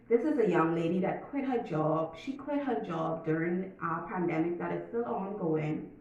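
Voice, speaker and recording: A woman's voice: fairly low pitch, lively intonation, darkish tone. A young Bahamian woman talking casually to her viewers in a vlog, chatty and emphatic, sometimes reading from notes. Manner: chatty, emphatic, drawn-out asides. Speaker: YouTuber. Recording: echoey room, mic at a distance, dull sound.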